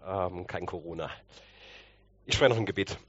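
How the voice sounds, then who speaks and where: A man's voice: low voice, moderate pace, highs cut off. A man with a slight cold, preaching conversationally and explaining with rhetorical questions. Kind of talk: conversational sermon.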